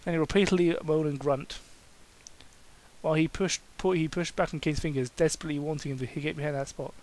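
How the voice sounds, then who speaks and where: A man's voice: fairly high pitch, steady tone, a little fast, darkish tone, poor audio. A man reading a story aloud as an amateur, in a comedic dramatic style, stumbling over words and breaking off for asides. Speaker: amateur fan fiction reader.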